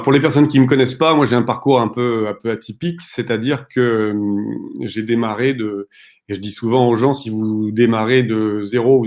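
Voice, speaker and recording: A man in his thirties co-hosting an online conference, telling his story in a direct, animated way with frequent fillers. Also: medium pitch. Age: 30s. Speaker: real estate investor co-hosting a webinar.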